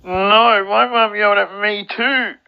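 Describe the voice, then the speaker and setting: An upset speaker in their twenties vents in a casual, plaintive way. The phrasing is halting and repeats itself, with the odd swear word. The sound is dull, lo-fi walkie-talkie audio. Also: mid-range voice, fairly even intonation, creaky, smooth. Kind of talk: casual venting over radio.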